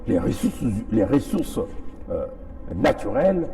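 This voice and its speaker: A man's voice: medium pitch, sing-song, slow, warm tone. A man with a Congolese French accent giving an impassioned political address as an activist, emphatic and repeating phrases for effect. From Congo-Brazzaville.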